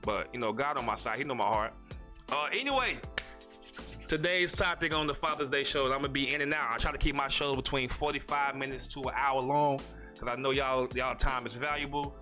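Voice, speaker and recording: Man, stutter, bright tone, faint music behind. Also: medium pitch. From North Carolina, USA. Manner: rambling, slangy, fast talker with a stutter. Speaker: podcast host. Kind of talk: casual solo podcast monologue.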